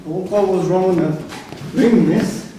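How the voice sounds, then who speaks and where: An actor playing an eager, defensive prisoner with an earnest, protesting manner in a staged reading. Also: male voice, high voice, takes it slowly. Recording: distant echoey hall recording, faint noise.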